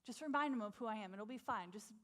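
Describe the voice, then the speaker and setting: Woman as laid-back reassuring deity, offhand, casual, higher voice. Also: fast talker, a bit bright, lightly acted. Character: breezy, reassuring male deity, unbothered.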